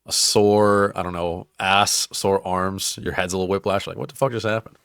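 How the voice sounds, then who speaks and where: Young guy podcast host, deep voice, swears a lot, laughs a lot, dry mic. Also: steady tone, moderate pace, vocal fry, fairly bright tone, clean tone, dry recording. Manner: laughs often, swears freely, teasing. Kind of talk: casual crude banter.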